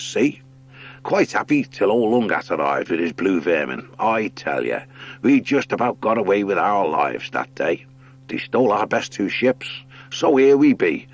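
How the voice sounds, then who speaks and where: A hearty sea otter skipper from an animal storybook, acted out by a man. His talk is salty and rustic, jolly and good-natured, with a lively rise and fall. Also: fairly low pitch, exaggerated voice. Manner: nautical rustic speech, jolly. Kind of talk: audiobook character acting.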